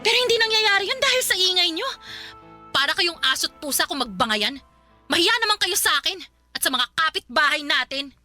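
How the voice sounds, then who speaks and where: A woman's voice: very high voice, animated, brisk, soft background music, exaggerated voice. A woman playing a scolding bossy older sister. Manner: scolding, sharp-tongued. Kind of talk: radio drama acting.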